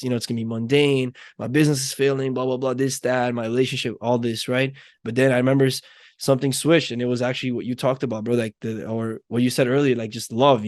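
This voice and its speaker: A man's voice: medium pitch, no echo, studio quality. A young man from Nepal hosting a podcast, chatting casually with lots of fillers and restarts. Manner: rambling, many fillers and restarts. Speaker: podcast host. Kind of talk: casual conversation.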